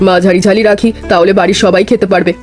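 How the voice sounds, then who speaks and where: A woman's voice: mid-range voice, steady tone, fast, music in the background, natural voice. A woman voicing a kindly older village mother in a cartoon, speaking affectionately and giving practical instructions. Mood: caring, practical. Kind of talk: scripted cartoon dialogue.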